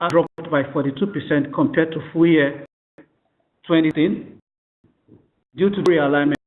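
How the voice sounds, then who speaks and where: A man formally presenting financial results on a conference call, reading figures aloud in a halting way. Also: a little high. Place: conference call phone line. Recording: tinny, echoey telephone conference line.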